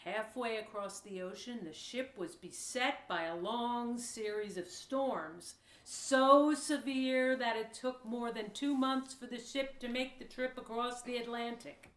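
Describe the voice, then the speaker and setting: A woman's voice: fairly high pitch, a little slow, few pauses. A woman reading scripted storytelling narration for a historical audio play. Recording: roomy, echoing room sound.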